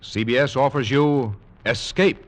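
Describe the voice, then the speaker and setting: A man's voice: medium pitch, a little slow. A man working as a network announcer, formal and declamatory in 1940s radio style.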